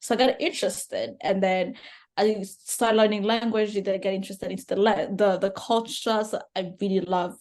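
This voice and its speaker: A woman's voice: mid-range voice, fairly even intonation, moderate pace, a little mellow. A young woman from Rwanda, a native Kinyarwanda speaker, talking as a podcast guest in an earnest, thinking-aloud way. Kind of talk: casual conversation.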